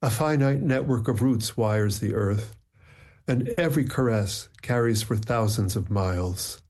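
A man whose first language is Ukrainian, a poet speaking thoughtfully and reflectively in measured phrases as an interview guest. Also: lowish voice, a little mellow. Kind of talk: thoughtful conversation and poetry reading.

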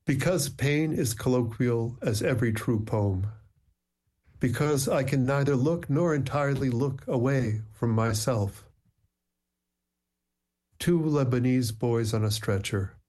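A middle-aged man, a poet, talks reflectively with frequent pauses and then reads his poems slowly and deliberately. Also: medium pitch, a little mellow. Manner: measured, reflective, many ums when talking, slow deliberate reading. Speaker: poet, interview guest. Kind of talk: conversation and slow poetry reading.

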